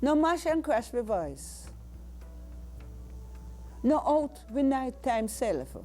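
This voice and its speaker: A woman with a Jamaican accent reciting spoken-word poetry in a deliberate, dramatic way. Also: medium pitch, warm tone. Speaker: poet.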